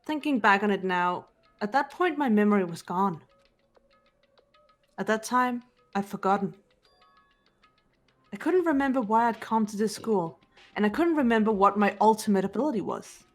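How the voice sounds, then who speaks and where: A woman voicing a calm, guarded teenage girl detective in a fan-dubbed game, speaking in a measured, reserved way. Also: mid-range voice, soft background music, lightly acted. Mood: pensive.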